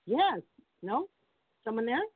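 A woman's voice: a little high, very animated, takes it slowly, no room sound, narrow, tinny audio. A woman chatting as a guest on a call-in radio show, affectionate and chatty with callers. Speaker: guest, former wrestler. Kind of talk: casual radio chat.

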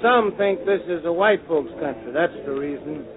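A man's voice: a little high, animated, normal speed, lightly acted. Man playing a cynical, slangy tavern agitator. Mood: cynical, insistent. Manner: slangy, insistent. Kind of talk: 1940s radio acting. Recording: tinny, faintly noisy old radio.

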